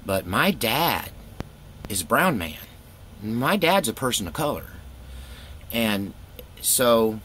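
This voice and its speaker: A middle-aged man with a fairly low voice tells stories casually, wandering from point to point. He is laid-back and wry, quick to poke fun at himself. Faint noise sits behind his voice. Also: a bit bright. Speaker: storytelling vlogger, former youth pastor. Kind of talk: casual rambling personal storytelling.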